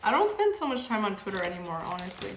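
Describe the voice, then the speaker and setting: A woman's voice: mid-range voice, very animated, fast talker, background noise. Rambling young woman in an echoey room. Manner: rambling, filler-heavy, frequent "like". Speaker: livestream host reading chat. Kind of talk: casual livestream chat. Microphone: camera mic.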